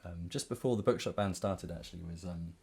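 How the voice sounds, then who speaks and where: Deep, creaky-voiced man chatting casually. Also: fairly quick, a little mellow. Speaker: musician presenting a concert. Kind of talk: casual chat between songs.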